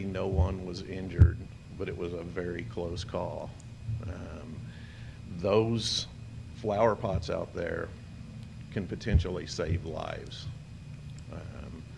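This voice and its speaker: A deep, gravelly-voiced man speaks at a council podium mic with steady background noise. He is earnest and polite, with frequent ums. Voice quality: gravelly, low voice with hesitant fillers. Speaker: local business owner speaking at public comment. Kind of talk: public comment at a city meeting. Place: city commission chamber. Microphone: podium microphone.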